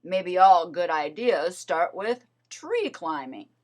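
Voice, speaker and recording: Woman as a gentle male bear, thoughtful, unhurried, slightly low, storybook character. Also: expressive. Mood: pondering.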